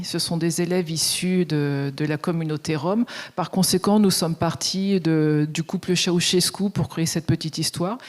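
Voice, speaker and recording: A woman teacher giving an acceptance speech, explaining calmly with some fillers. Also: lowish voice.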